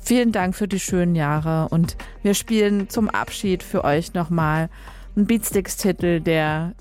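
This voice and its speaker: A woman's voice: on the low side, unhurried, darkish tone. A young woman from Leipzig hosting a radio music show, chatting casually and correcting herself as she goes. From Leipzig, Germany. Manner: chatty and informal, with frequent fillers and self-corrections. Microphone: studio microphone.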